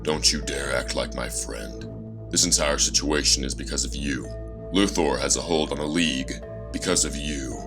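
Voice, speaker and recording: A man's voice: a bass voice, steady tone, moderate pace. A man playing a grim, bitter caped vigilante in a dramatic audio story, stern and accusatory. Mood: angry accusing. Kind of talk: dramatic fan fiction audio acting. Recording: loud music under the voice. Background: loud music.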